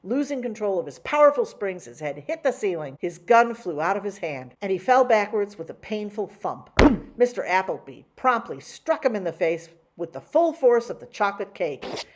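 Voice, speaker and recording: A woman reading a children's picture book aloud in an expressive storytelling way. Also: mid-range voice, moderate pace, slight background noise. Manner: expressive, animated.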